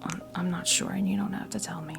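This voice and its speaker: An American woman in her fifties giving a tarot reading as ASMR role play, whispering softly in a halting, gently reassuring way. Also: lowish voice, very animated, over music. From New Jersey, US. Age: 50s. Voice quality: whispered, breathy soft voice. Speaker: ASMR performer playing a tarot reader.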